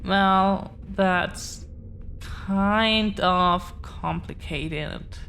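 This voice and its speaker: A woman's voice: medium pitch, very slow, vocal fry, a bit bright, slight background noise, heavily acted. A woman playing an affectionate, earnest dragon-blooded girlfriend in an intimate audio roleplay, confessing to the listener in a hesitant, tender way. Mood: hesitant confession.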